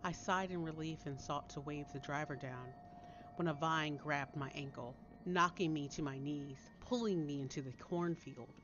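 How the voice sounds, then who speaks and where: A woman reading a story aloud as a dramatic, deliberate narrator with theatrical delivery. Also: low voice, dull audio, slight background noise. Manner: deliberate, theatrical, dropping to hushed tones. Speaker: author reading own story. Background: soft background music.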